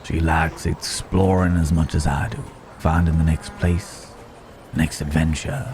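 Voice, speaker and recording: A man playing a rugged, protective cowboy drifter in an intimate ASMR Wild West roleplay, with a drawl, teasing and reassuring as he pauses between thoughts. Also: rumbling low, steady tone, noisy background. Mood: wistful, easygoing.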